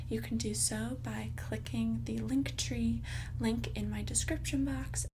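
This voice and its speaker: A woman speaking in a soft, breathy half-whisper, giving an ASMR channel announcement. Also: on the high side, background noise. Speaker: ASMR video creator.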